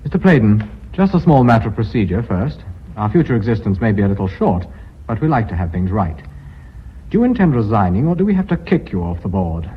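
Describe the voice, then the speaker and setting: An actor with a British accent playing a dry, formal, authoritative company director in a radio drama. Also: male voice, on the low side, sing-song, fairly quick. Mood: coolly sardonic. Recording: dull, slightly noisy radio drama recording.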